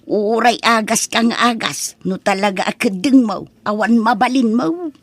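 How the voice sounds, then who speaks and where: A woman playing an ailing, vain elderly mother in a comedy audio drama, with an Ilocano accent and a plaintive, drawn-out delivery. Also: on the high side, dry recording. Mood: vain, coy.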